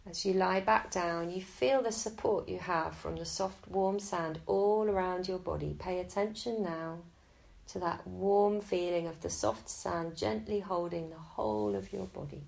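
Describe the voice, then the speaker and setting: A woman guiding a Yoga Nidra relaxation in a slow, soft and soothing way. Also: fairly low pitch, creaky, low-quality recording. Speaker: meditation guide.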